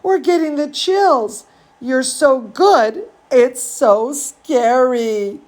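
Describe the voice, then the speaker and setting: A spooky storybook monster mother voiced by a woman, doting and admiring. She speaks a bit high, lingering slowly on her words. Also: a little mellow. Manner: drawn-out, admiring.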